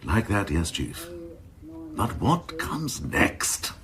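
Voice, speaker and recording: An actor playing a cynical, domineering assistant chief constable, mocking and ornate in a crime radio drama. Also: male voice, on the low side, sing-song, very slow, a bit bright, exaggerated voice. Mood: scornful. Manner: mocking, ornate, theatrical phrasing.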